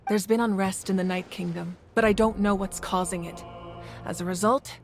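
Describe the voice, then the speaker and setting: A woman voicing a composed, authoritative female ruler in a video game, speaking with measured calm. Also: mid-range voice, faint music behind, natural voice. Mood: earnest. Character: commanding yet gentle female ruler of a nation. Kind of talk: game voice acting.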